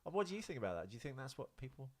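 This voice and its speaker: A man's voice: mid-range voice, sing-song, very fast, husky. A man co-hosting a podcast, chatting casually about films and celebrities in a rambling, sweary way. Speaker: podcast co-host.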